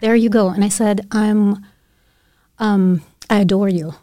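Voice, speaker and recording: A middle-aged woman professor whose first language is Russian, speaking in a measured, philosophical way and often checking in with "right?". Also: medium pitch, steady tone, warm tone, no echo, studio quality. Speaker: professor of physics and education. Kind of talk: reflective conversation.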